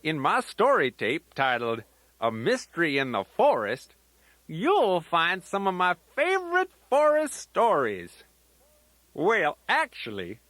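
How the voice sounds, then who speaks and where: A man's voice: high voice, pushed and bright, dry recording, full character voice. A man voicing a folksy, playful old grandfather teddy bear who rambles in a children's storytelling tape. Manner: folksy, rambling, sing-song rhyming asides.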